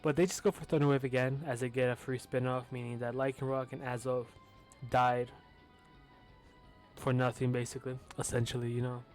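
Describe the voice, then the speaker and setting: A man's voice: medium pitch, flat delivery, a little fast, a little mellow, faint music behind. A young man with a lisp casually commentating his own competitive match, talking loosely with fillers and the odd swear word.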